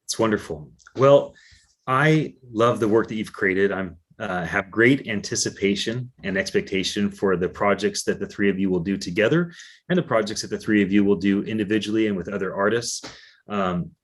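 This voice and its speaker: A man's voice: on the low side, normal speed. A man hosting a live-streamed interview, friendly and effusive with his guests. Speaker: event co-producer and host.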